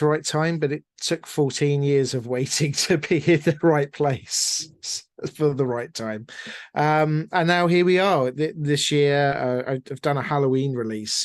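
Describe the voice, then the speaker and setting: A man's voice: medium pitch, dry recording. A middle-aged man telling his story as an interview guest in a casual, chatty, wry and self-deprecating way. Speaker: interview guest, audio drama producer.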